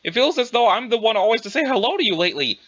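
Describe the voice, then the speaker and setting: Bumbling, self-absorbed young male adventurer played by a man, wordy and folksy, bright animated delivery for audio drama. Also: very high voice, racing, muffled recording, heavily acted. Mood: sheepish, rambling. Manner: wordy, old-fashioned folksy exclamations, bumbling. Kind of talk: dramatized dialogue reading.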